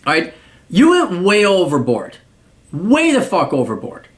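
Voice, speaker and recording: A man's voice: sits high, huge pitch swings, measured pace. Blunt profane man lecturing. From United States. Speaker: podcast host giving paid advice. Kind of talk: blunt advice rant.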